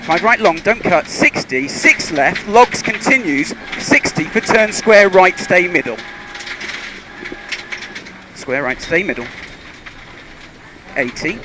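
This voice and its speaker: High-pitched male rally co-driver calling pace notes. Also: lively intonation, slow pace, long pauses. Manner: clipped, urgent calls in short bursts. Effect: car intercom. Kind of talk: reading pace notes during a rally stage. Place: inside a rally car on a forest stage. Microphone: helmet intercom headset. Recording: car intercom with loud engine and vehicle noise.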